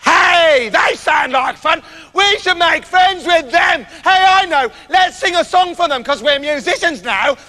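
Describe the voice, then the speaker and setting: A man voicing a loud, excitable, dim-witted storybook rooster with a shrill crowing shout and drawn-out delivery. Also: full character voice. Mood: eager.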